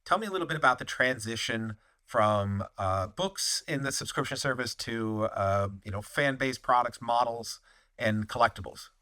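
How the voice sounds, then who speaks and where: A man's voice: medium pitch, moderate pace, sharp tone, no room sound. A man hosting an interview, asking questions in a friendly, upbeat way. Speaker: podcast host, interviewer. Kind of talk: casual interview.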